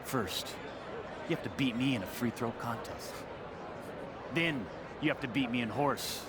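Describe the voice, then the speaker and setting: A man's voice: mid-range voice, measured pace, natural voice. A man playing a boastful, easily offended self-styled video gaming champion in a game cutscene, with bombastic, grandiose delivery. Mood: pompous boasting. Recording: loud crowd and outdoor urban noise.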